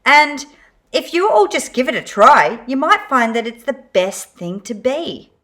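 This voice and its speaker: Woman as a sincere spork toy: slightly raised, animated, plain-spoken. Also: sits high, unhurried, exaggerated voice. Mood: rallying. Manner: earnest, matter-of-fact. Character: earnest young spork toy, puzzled then rallying.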